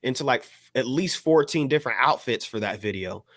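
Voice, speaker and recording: A man bantering casually as a music podcast panelist, slangy and halting as he hedges his opinions. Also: mid-range voice, lively intonation, a bit bright, no echo.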